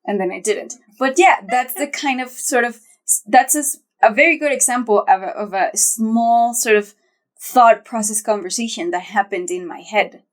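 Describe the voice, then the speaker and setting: A woman's voice: fairly high pitch, moderate pace. A young woman co-hosting a podcast, chatting casually and thinking aloud, with lots of fillers and restarts. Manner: thoughtful, rambling with many fillers and restarts. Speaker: podcast co-host. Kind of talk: casual chat.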